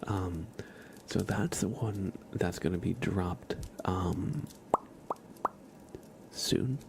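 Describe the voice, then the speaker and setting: A man hosting an ASMR livestream, chatting casually and gently with viewers in a soft, breathy whisper. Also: low-pitched, fairly even intonation, very slow, noisy background. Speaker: live ASMR streamer and host.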